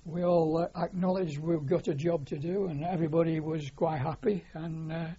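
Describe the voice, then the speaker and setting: An older man with a Lancashire accent, reminiscing as a war veteran in an interview, pausing often on fillers. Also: fairly high pitch, fairly even intonation, dark tone. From Lancashire, England. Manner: hesitant, many filler pauses. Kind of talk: oral history reminiscence. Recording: dull lo-fi recording.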